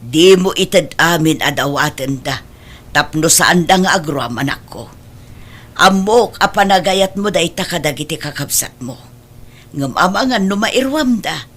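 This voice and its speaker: A woman acting a self-sacrificing, middle-aged mother in a radio drama. She has a lowish voice and speaks earnestly to her grown children, firm yet caring as she admonishes them. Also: lively intonation, moderate pace, a bit bright. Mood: gently explaining.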